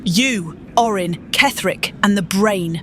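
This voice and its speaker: A woman's voice: medium pitch, takes it slowly, a bit bright, a little hiss or hum. A woman voicing a guarded, skeptical adventurer heroine in a fantasy game, with cool, terse delivery. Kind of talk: fan-voiced fantasy game dialogue.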